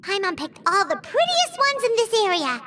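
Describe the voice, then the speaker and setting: Squeaky, excitable little fairy companion. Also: female voice, moderate pace, noisy background. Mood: earnest. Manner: refers to self in third person, exclamatory. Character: tiny excitable female fairy-like companion, childlike and earnest. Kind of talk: animated video game voice acting. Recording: music behind the voice.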